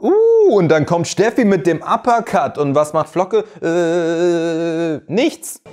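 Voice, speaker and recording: A man in his thirties hosting a reaction video, commenting in a mocking, animated way from a neon-lit room. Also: slow pace, soft background music. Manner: animated, mocking, jumps in pitch for jokes.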